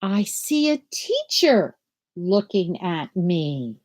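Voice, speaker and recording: An older woman teaching children from home over a webcam, explaining a picture book and then reading it aloud in a gentle sing-song. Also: on the low side, sing-song, takes it slowly. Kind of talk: children's read-aloud lesson. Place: small room at home. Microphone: laptop webcam video call.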